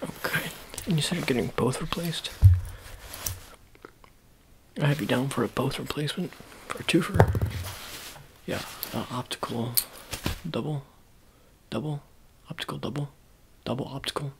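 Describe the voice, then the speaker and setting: Soft-spoken ASMR man over heavy noise. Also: medium pitch, vocal fry. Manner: hesitant, halting, mumbling, repeats phrases. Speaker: ASMR roleplayer playing an eye surgeon. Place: small dim room, indoors. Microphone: close to the mic, near camera. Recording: noisy close-up home recording with clicks.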